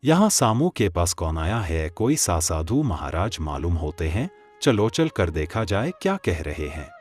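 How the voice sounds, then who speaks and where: A man playing an easygoing, lazy and doubting village farmer in a moral-story cartoon, with an expressive delivery. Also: sits low, measured pace, heavily acted. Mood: curious. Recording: music under the voice.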